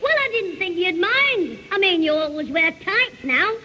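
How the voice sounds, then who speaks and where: A man's voice: extremely high pitch, very animated, moderate pace, sharp tone, heavily acted. A man playing a cheeky, scheming schoolboy full of insolent wisecracks in a radio sitcom. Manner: cheeky back-chat. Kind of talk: 1970 radio sitcom acting. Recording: muffled noisy vintage radio recording, audience.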